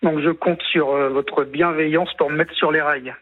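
Over a thin, narrowband phone line, a man in his late fifties speaks in a fairly high voice. He is from Martinique and French Guiana. He tells a personal story on a radio phone-in, earnest and emphatic, often repeating his phrases. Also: steady tone, moderate pace. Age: late 50s. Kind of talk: personal account on live radio. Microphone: telephone call.

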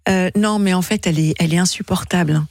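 A woman hosting a late-night radio phone-in, gentle and teasing, hesitating often. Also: on the low side, quick pace, no room sound. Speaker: radio host. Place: radio studio.

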